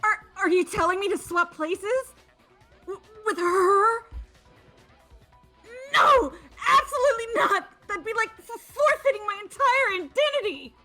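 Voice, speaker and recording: Woman doing a high, flustered, stammering young woman. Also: expressive, measured pace, pushed and bright, soft background music, full character voice. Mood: panicked indignation. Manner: stammering, agitated.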